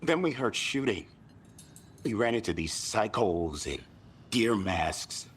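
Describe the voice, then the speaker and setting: Shaken male witness, very deep voice, swooping pitch, halting, colloquial game dialogue. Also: very animated, fairly bright tone, slightly rough, full character voice. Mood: rattled. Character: rattled male tourist recounting a frightening attack. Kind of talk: naturalistic video game acting.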